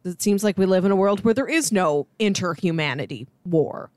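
A woman's voice: mid-range voice, animated, moderate pace, darkish tone, dry recording. A woman co-hosting a podcast, discussing things in a casual, conversational way. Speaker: podcast co-host reviewing a film.

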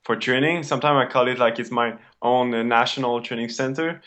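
Young Québécois-accented guest. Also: male voice, medium pitch. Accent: Québécois French. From Quebec, Canada. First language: French. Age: 20s. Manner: relaxed, halting with fillers.

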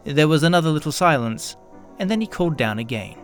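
A man narrating a scripted children's story in an expressive, measured storytelling manner. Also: on the high side, faint music behind.

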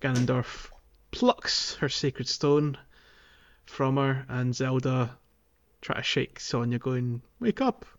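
Casting: male podcast co-host, mid-pitched, hesitant and stumbling, chatty recap style, slightly lo-fi sound. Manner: halting, hesitant delivery with stammered false starts. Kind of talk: casual game recap chat.

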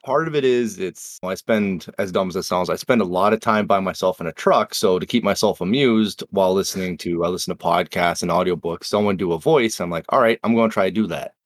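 A man's voice: low voice, brisk, few pauses, no echo, studio quality. A man from Nova Scotia with a Canadian accent, chatting casually and swearing freely as a cast member of a game podcast. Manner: laid-back, swears freely, tangents. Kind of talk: casual chat.

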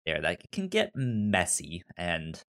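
A man co-hosting a podcast, discussing a TV series casually and haltingly, often repeating syllables and words. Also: low voice, huge pitch swings, a bit bright. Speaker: podcast co-host.

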